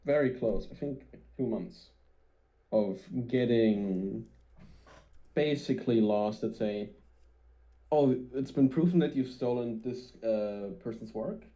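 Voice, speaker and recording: Hesitant man with a dark voice. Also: medium pitch, takes it slowly, low-quality recording. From Austria. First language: German. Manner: hesitant, many uhs.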